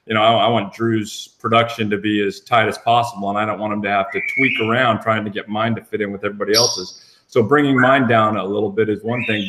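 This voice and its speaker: A man in his fifties, a voice actor and podcast guest, chatting casually about his work in a deadpan, wry way. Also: lowish voice. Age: 50s. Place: home or personal recording booth. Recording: noisy booth recording with clicks.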